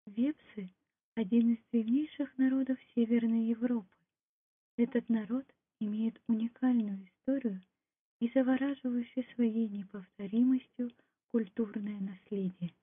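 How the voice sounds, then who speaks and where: Woman reading a scripted story, unhurried, husky voice, tinny muffled audio. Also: fairly even intonation. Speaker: narrator. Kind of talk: scripted folk tale and documentary narration. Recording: muffled, low-fidelity phone-like sound.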